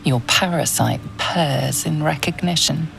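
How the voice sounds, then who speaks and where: An ominous game narrator. Her extremely deep, creaky voice moves slowly over clearly heard music. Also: heavily acted, poor audio. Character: ominous, hushed female fantasy narrator.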